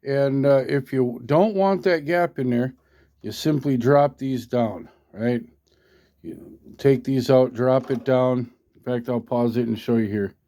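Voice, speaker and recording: A man's voice: mid-range voice, measured pace, warm tone. A man talking casually and unscripted as he demonstrates gear, in a folksy, hesitant way, often checking in with the listener. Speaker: hobbyist product demonstrator. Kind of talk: casual unscripted demo talk.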